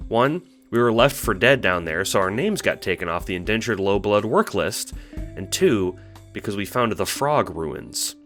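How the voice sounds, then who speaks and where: Meek young troll guy male actor, low voice, nervous halting stammer, earnest audio-drama storytelling over music. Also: a bit bright. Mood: earnest, intrigued. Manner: hesitant stammer, halting and apologetic. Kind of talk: audio drama monologue, recounting adventures as a log.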